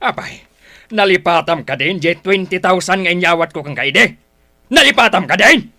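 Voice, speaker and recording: A bright, forceful voice that swings widely in pitch marks this quarrelsome father. He is a hard drinker who sneers and taunts in radio drama style. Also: lively intonation, moderate pace, full character voice. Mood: accusing. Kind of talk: Ilocano radio drama acting.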